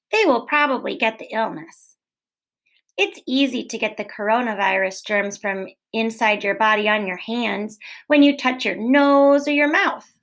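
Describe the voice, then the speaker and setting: A woman teacher reading a children's book aloud in a gentle, clear, expressive way, posing questions to young listeners. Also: a little high, low-quality recording. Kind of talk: read-aloud storytelling for children.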